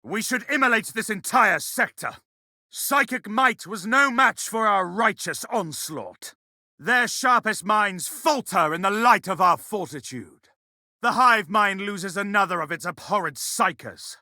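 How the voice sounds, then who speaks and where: An actor playing a fervent, unforgiving space marine in a video game. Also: male voice, sits high, animated, unhurried, sharp tone, no room sound, clean studio sound, full character voice. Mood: righteous contempt. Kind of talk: video game combat voice lines.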